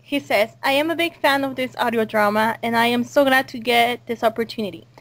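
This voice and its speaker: A woman's voice: fairly high pitch, a little fast, gravelly. A woman hosting an informal cast interview in a casual, chatty style. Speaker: host and audio drama creator. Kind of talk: casual group interview chat.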